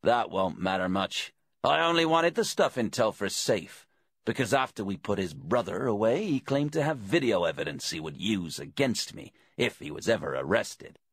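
Bent detective inspector for a thriller audiobook, done by a male narrator: smug, taunting, husky, swooping pitch. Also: expressive, moderate pace, no echo, clean studio sound. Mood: smug, bitter. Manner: cheerfully taunting, casual.

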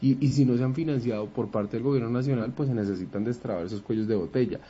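A man from Bogotá with a Bogotá Spanish accent, explaining politics at a measured pace with many fillers on a radio panel, in muffled lo-fi audio. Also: mid-range voice, warm tone, slightly rough. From Bogotá, Colombia. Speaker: political commentator.

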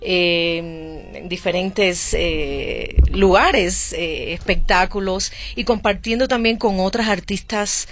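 A woman's voice: medium pitch, slow, few pauses, a bit bright. A woman with a Cuban accent, a singer and actress, chatting as a radio guest with frequent hesitant fillers. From Cuba. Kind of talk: casual radio interview. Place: radio studio booth.

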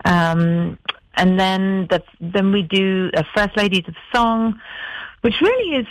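A middle-aged English woman chatting as a guest in a radio interview, quick to laugh. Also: mid-range voice, lively intonation, dry recording, low-quality recording. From England. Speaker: guest singer.

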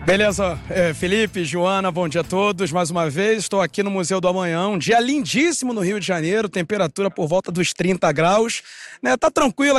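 A male field reporter with a fairly high voice delivers a steady, informative live update from outdoors. The sound is dry and close, with no room around it. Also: no room sound. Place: outdoors at a city museum.

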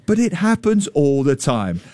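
A man in his fifties hosting a radio talk show, telling stories in a chatty, jokey way with a slightly loud voice. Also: fairly high pitch, a little slow, no room sound. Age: 50s. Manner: chatty, jokey, animated storytelling. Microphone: studio microphone.

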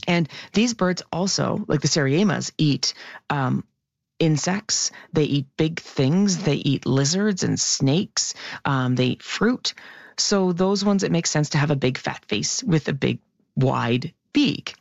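A woman explains bird research on the radio with real enthusiasm, her voice gravelly and naturally loud. The sound is dry and a little muffled. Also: deep voice, dry recording. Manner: lively, animated explainer, self-described loud voice. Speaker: science columnist. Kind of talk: radio science segment chat.

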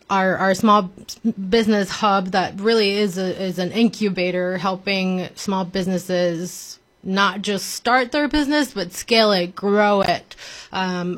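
Earnest woman. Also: medium pitch. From Mexico. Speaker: nonprofit executive director being interviewed. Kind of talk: conversational interview answers.

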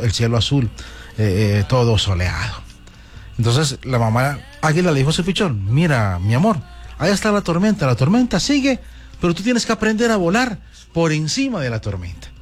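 A man hosting a talk show, telling a fable to his audience and greeting them effusively. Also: fairly high pitch. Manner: effusive, repeats "gente linda" often. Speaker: host.

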